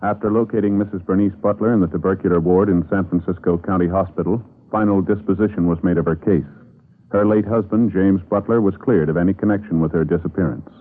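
A man's voice: sits low, steady tone, fast talker. A man with an American accent reading a scripted, official-sounding radio announcement. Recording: muffled, narrow-band 1950s radio recording.

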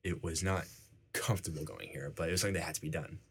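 Young man, first language Icelandic, deep low voice, husky, loose casual talk full of "like". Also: fairly even intonation, fast. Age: young adult. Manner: fills talk with "like". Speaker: interviewee. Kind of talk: casual interview.

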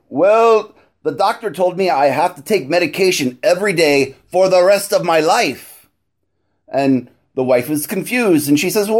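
A man's voice: on the high side, darkish tone. A man telling scripted jokes and announcing in a showy, theatrical horror-host manner. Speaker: horror-host comedian with a monthly joke segment.

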